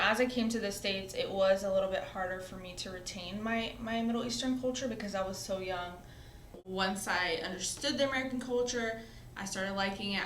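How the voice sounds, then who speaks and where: A woman in her twenties, a college student, telling her own story in a relaxed, reflective interview, often pausing with "um" and "you know". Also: mid-range voice, a little hiss or hum. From born in Syria, raised in the US. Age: 20s. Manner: conversational, reflective, frequent "um" and "you know" fillers. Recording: roomy echo.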